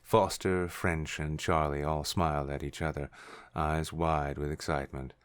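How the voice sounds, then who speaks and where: A man's voice: rumbling low, flat delivery, measured pace, clear, resonant tone, no room sound. A man reading scripted narration for a science fiction story. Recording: music under the voice.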